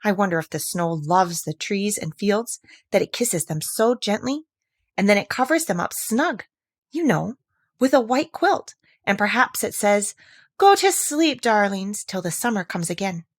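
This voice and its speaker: A woman voicing a chatty, imaginative little girl in a storybook, animated and mock-stern as she talks to her kitten. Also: medium pitch, a little slow, dry recording, studio quality. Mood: dreamy, tender. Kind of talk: storybook character voice.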